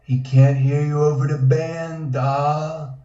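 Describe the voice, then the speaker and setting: Slow, sleazy mobman played by a man. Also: on the high side, level tone, mellow. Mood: leering. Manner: leering, thuggish. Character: greasy, pushy 1920s mobman pestering a flapper. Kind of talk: comic period drama table read. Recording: roomy, slightly dull sound.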